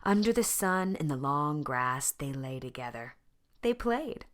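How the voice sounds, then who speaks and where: A woman reading a children's story aloud in expressive narration, with deliberate phrasing and dramatic pauses. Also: sits low. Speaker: storybook reader.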